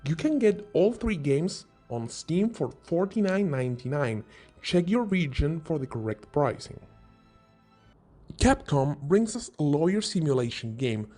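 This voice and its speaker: A man hosts a scripted gaming list in a fairly high, very animated voice that swings up and down. His tone is on the mellow side, and he explains things informally, like a video narrator. Music plays under his voice. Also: a little slow. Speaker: host of a video game recommendation video. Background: background music.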